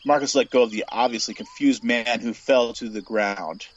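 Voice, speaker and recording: A man's voice: mid-range voice, moderate pace, highs cut off. A man reading scripted Western fiction aloud as the narrator of an audio story.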